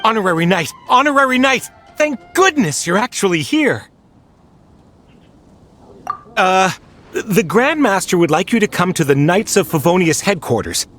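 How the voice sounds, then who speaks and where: A man's voice: high voice, expressive, a bit bright, faint music behind. An actor playing an eager, flustered young male knight delivering an urgent message. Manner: hurried, urgent calling. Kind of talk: game cutscene voice acting.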